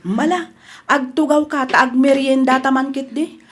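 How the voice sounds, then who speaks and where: A woman with an Ilocano Filipino accent acting as a gentle, devoted young fiancée in a radio drama. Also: high voice, steady tone, normal speed, close to an everyday voice. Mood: tender.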